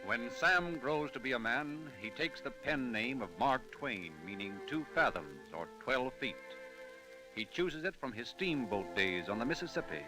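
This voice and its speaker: A man's voice: mid-range voice, animated, a little slow, music in the background, lightly acted, rough recording. Male solemn storyteller, vintage radio narration, mid-range voice, musical underscore. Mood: reverent. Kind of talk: old-time radio scripted narration.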